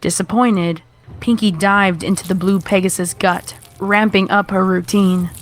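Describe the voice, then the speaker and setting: A woman's voice: medium pitch, fairly even intonation, a little slow. A woman reading a scripted horror story as its narrator.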